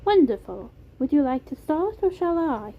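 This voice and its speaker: Woman voicing a high, shy British male animatronic, tinny. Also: very animated, normal speed. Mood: gentle, eager. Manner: soft-spoken, polite, hesitant. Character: shy, polite male animatronic, ragged and gentle. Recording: tinny phone-like audio, clear noise.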